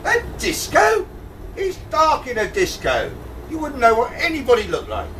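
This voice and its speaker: A man's voice: very high voice, wildly swooping pitch, moderate pace, fairly bright tone, heavily acted. A man playing a forgetful, pompous old king in an amateur pantomime, muddled, hesitant and blustering. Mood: bossy, pompous. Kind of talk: amateur pantomime stage acting. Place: amateur show in a pub, with audience. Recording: distant mic in noisy hall with audience.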